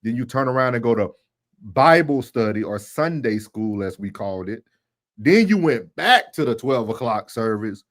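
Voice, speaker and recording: A man with a mid-range voice co-hosts a livestream. He talks casually and in an animated way, speaking straight to his viewers as if making a case to them. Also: mid-range voice, moderate pace. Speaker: livestream co-host. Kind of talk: casual talk commentary on church.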